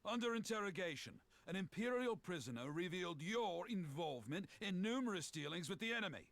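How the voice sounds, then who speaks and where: Stern officer, high gravelly voice, formal and accusing, game cutscene. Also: male voice, big pitch swings, fairly quick, fairly bright tone, no echo, heavily acted. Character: stern male officer making an arrest. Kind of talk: video game voice acting.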